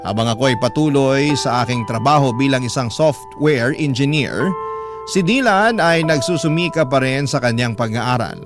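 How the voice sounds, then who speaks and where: A Filipino man narrates a scripted personal letter in a mid-range voice. His delivery is measured, dramatic and heartfelt, with clear music playing behind him. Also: medium pitch. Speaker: radio storyteller reading a listener's letter. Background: music bed.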